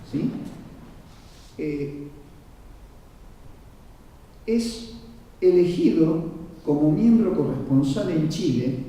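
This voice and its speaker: The man you would hear from the back row of a big, booming conference hall, his very mellow, dull-toned voice explaining history point by point from the distant lectern. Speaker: lecturer at a conference. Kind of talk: formal lecture on history, read from notes with asides. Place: large reverberant conference room. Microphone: distant microphone. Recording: very echoey hall, muffled, far from mic.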